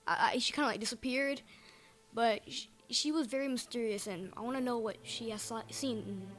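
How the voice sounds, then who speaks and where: A woman chatting casually as a podcast panelist, halting and repeating phrases, with lots of filler words. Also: a little high, over music. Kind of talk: casual fan chat.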